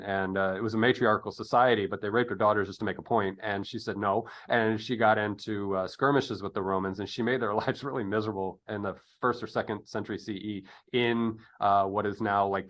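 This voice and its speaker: A man hosting an interview in casual conversation, digressive and halting, often correcting himself with a jocular streak. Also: lowish voice, level tone, a bit bright. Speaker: podcast interview host. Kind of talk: casual interview chat with rambling history asides.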